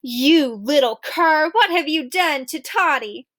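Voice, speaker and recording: A woman's voice: high-pitched, expressive, slow pace, fairly bright tone, no echo, clean studio sound, heavily acted. A woman voicing a doting, haughty foster mother who fusses and scolds in a storybook. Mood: outraged. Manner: fussing, indignant, scolding.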